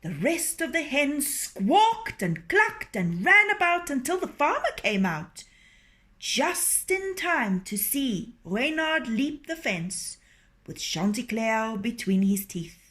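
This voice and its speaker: A woman reading a children's story aloud as storyteller, in an expressive, unhurried way. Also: high-pitched.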